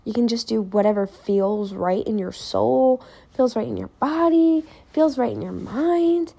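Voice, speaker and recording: Young woman doing a solo podcast, kinda raspy gravelly voice, slightly high, chatty and thinking out loud. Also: a little slow. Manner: chatty and reflective, quoting her own thoughts aloud. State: voice more raspy than normal. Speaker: podcast host. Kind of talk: casual solo podcast talk.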